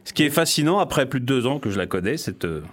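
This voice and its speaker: A man's voice: medium pitch, sing-song, fast. Wry teasing male radio co-host. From Paris region, France. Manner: teasing, wry, laughs at his own lines. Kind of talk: reading a written portrait, then banter.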